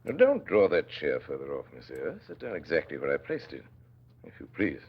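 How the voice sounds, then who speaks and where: A domineering gentleman of the manor in an old radio play. His voice is very low and rough-edged, swinging widely as he orders and mocks. The recording is muffled. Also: huge pitch swings, a little fast, heavily acted. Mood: imperious, probing. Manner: imperious, mocking, interrogating. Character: stern, brusque, domineering gentleman master of a manor. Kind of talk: classic radio drama acting.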